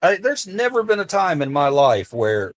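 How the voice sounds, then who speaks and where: A man's voice: a little high, sing-song, moderate pace. American man ranting earnestly to his chat. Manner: earnest, impassioned, repeats himself while speaking off the cuff. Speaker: livestreamer talking to chat. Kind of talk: casual live-stream chat. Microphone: streaming desk mic.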